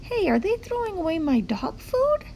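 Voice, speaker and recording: Woman reading as an eager, animated storybook dog. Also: extremely high pitch, moderate pace, gravelly, a little hiss or hum, pitched above the performer's own voice, full character voice. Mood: worried. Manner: expressive, exclaiming and wondering. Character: eager, curious pet dog, easily worried, telling its story. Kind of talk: children's picture book read-aloud.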